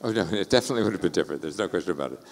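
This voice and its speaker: A man's voice: low voice, lively intonation, rapid-fire. A man from Texas with an American accent, moderating a festival panel in an easygoing, agreeable way. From Texas, United States. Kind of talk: conversational panel talk. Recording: long hall reverb.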